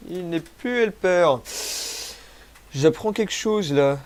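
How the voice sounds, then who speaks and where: A man's voice: high voice, animated, slow pace. A young man from France with a cold, rambling through gameplay commentary, self-mocking and using crude slang. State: has a cold, sniffly. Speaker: gaming youtuber. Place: home, rural.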